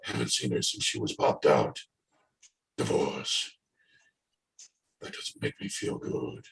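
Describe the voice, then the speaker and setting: A man playing a lonely, bitter, world-worn father in a stage play monologue, halting and brooding. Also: a bass voice, very animated, gravelly, a bit bright, full character voice. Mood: wistful, weary. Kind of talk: play table reading, monologue. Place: indoors, echoey room, remote video call. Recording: roomy echo on video call.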